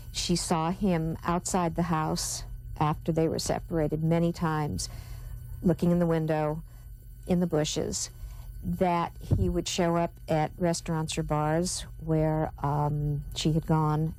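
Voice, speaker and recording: A woman's voice: low-pitched, level tone, measured pace, dark tone, poor audio. A woman recounting what she was told, in an interview. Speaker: therapist giving an account.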